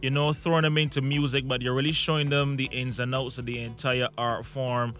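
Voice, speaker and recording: Bright-voiced male radio morning host. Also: medium pitch, flat delivery, a little fast. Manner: informal, encouraging interjections. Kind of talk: casual broadcast interview. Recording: music playing behind the voice.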